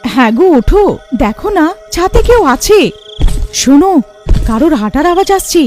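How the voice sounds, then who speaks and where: A woman's voice: high voice, sing-song, moderate pace, a little mellow, faint music behind. A woman voicing a frightened young village wife in a cartoon, anxious, pleading and dramatic. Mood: fearful. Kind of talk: cartoon voice acting.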